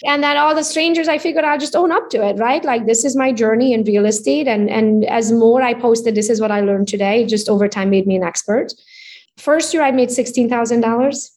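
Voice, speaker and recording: A woman in her forties from Romania with a Hungarian accent, telling her story as an interview guest in an upbeat, animated way. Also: fairly high pitch, fairly quick. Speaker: real estate agent guest. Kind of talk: casual interview chat.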